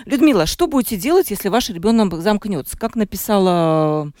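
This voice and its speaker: A woman radio host puts listener questions to her guests in a brisk, conversational way. Her voice sits at a middle pitch and sounds close and dry in the studio. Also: lively intonation, darkish tone, no echo. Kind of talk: radio discussion.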